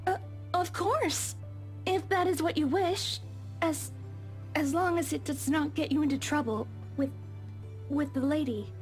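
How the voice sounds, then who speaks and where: A woman's voice: high-pitched, measured pace, pauses often, faint music behind. A woman playing a meek, deferential young maid in a visual novel game, speaking in a formal, old-fashioned, hesitant way. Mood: humble, admiring.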